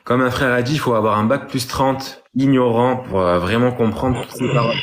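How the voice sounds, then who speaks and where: A man's voice: mid-range voice, fairly even intonation, a little fast, a little hiss or hum. A man taking part in a casual live debate, speaking informally and earnestly and calling the others brother. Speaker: live stream participant, believer.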